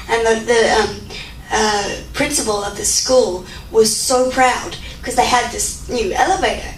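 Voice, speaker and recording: Chatty young Australian woman, echoey room. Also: a little high. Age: 20s. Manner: chatty, many fillers and restarts. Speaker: guest speaker. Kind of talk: audience Q&A answer. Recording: echoey room, mic far off, faint noise.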